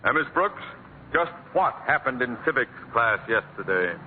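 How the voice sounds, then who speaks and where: An actor playing a blustering, pompous middle-aged school principal in a 1940s radio comedy, barking out orders. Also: male voice, mid-range voice, big pitch swings, moderate pace, lightly acted. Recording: tinny old radio broadcast with hiss.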